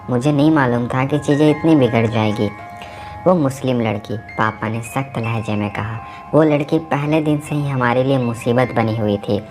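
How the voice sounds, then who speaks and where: A man narrating a novel aloud at home, with a sore throat from a cough, voicing the dialogue as he reads. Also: medium pitch. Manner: casual, mixes English words into talk. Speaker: audiobook narrator. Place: home recording, busy household.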